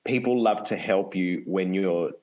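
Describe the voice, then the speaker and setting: Male co-host, low register, open and earnest, conversational. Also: measured pace, a little mellow. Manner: rambling, frequent "like" fillers, open and earnest. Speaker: podcast co-host. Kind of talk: casual conversation.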